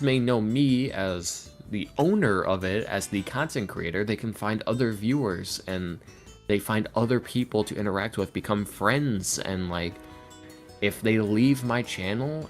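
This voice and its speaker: A man talking casually as a podcast guest, earnest and self-deprecating in a rambling way. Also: on the low side. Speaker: podcast guest, ASMR roleplay creator and voice actor. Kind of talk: casual podcast interview talk. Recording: music playing under the talk.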